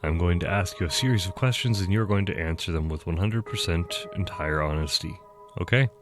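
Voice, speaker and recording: A man with a stuffy nose voicing a polite, earnest male examiner in an office, over loud game music. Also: sits low, a little fast, natural voice. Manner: courteous, earnest, insistent.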